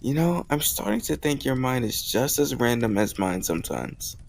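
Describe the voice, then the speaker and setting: A man's voice: mid-range voice, sing-song, normal speed, vocal fry. A man playing a bold, teasing young college student in love, in an intimate, conversational romantic audio roleplay. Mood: playful, teasing.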